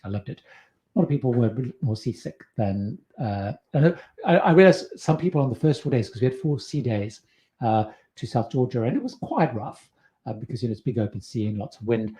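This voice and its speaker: Mellow-voiced man rambling through a live Q&A. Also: mid-range voice. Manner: rambling, many fillers and restarts. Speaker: livestream host answering viewer questions. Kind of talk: casual live Q&A.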